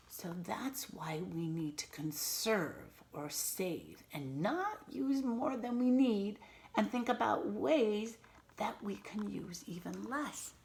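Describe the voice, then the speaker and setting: A woman reading a picture book aloud to children as a teacher, expressively and patiently, pausing to explain and ask questions. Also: medium pitch, fairly bright tone. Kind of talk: animated read-aloud with simple explanatory asides.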